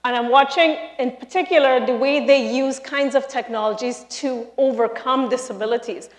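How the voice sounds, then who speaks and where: A woman from Trinidad with a Trinidadian accent, a lecturer giving a lively, emphatic live talk to an audience in a large hall. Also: high voice, normal speed. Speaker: speaker, university lecturer.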